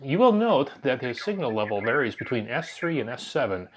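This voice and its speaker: Male narrator for a hobby radio vlog, mid-pitched voice, scripted storytelling delivery. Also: sing-song, a little fast, noisy background. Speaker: amateur radio video narrator.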